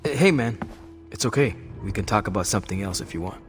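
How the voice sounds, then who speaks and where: A man's voice: fairly low pitch, expressive, fast talker, soft background music, close to an everyday voice. A man playing an easygoing young American hero in a game cutscene, talking in a casual, friendly, reassuring way. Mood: apologetic. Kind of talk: video game cutscene acting.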